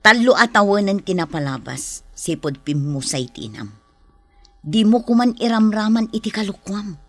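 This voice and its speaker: Exasperated pleading wife. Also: female voice, mid-range voice, sing-song, normal speed. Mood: reproachful. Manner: scolding, pleading with her husband. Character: exasperated, pleading farm wife and mother of a student. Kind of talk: Philippine radio drama acting.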